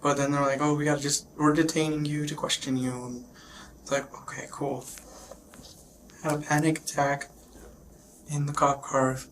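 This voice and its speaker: Man, flat and halting, shaken, getting over illness, police interview, noisy bodycam audio. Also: fairly high pitch. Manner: shaken, halting, repeats himself. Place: police questioning, recorded on bodycam.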